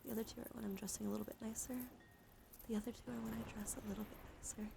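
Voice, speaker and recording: A woman livestreaming ASMR, chatting softly and soothingly to her viewers with affection, drifting between whispers and gentle speech. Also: a little high, flat delivery, rapid-fire, creaky, background noise. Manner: affectionate toward listeners. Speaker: live streamer hosting ASMR. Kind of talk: ASMR, soft intimate chat with live viewers.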